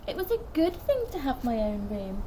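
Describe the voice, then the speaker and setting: A woman's voice: a little high, big pitch swings, moderate pace, warm tone, recorded from a distance. Woman reader as a wistful storybook mother. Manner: explaining, wistful. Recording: noisy outdoor recording.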